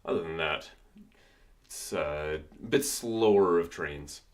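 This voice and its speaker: A man's voice: low voice, slow pace. A man streaming his music production at a home studio, chatting casually and thinking aloud while he works, with keyboard typing behind him. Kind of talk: casual livestream commentary.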